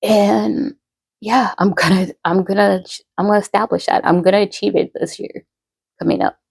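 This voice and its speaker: A woman in her thirties, a poet and podcaster, talking casually to her followers in a slow, rambling way full of fillers and restarts. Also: mid-range voice, steady tone, gravelly, darkish tone, breathy edge, no room sound, clean studio sound. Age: 30s.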